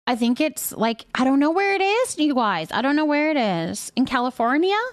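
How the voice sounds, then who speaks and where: A woman with a high voice that swings up and down as she talks. She streams live, rambling along casually and chattily as she answers her viewers, with a giggly manner. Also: lively intonation, normal speed. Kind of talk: casual livestream chat, reading and answering viewers.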